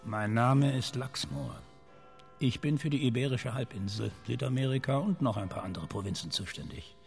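A man's voice: medium pitch, moderate pace, gravelly, close to an everyday voice. A man playing a pompous senior intelligence officer in a German crime radio play, condescending and curt. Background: faint music.

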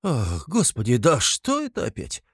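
A man's voice: medium pitch, animated, a little slow, darkish tone, no echo, clean studio sound. A man playing a wily, fussy police investigator from a classic novel, falsely fatherly, rambling and coaxing in an obsequious way. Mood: alarmed.

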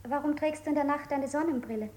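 A domineering female interrogator, played by a woman speaks in a high voice in an echoey room. Clear noise runs behind this old radio-play threat. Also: quick pace, natural voice. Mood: threatening. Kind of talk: stylized 1960s German radio play.

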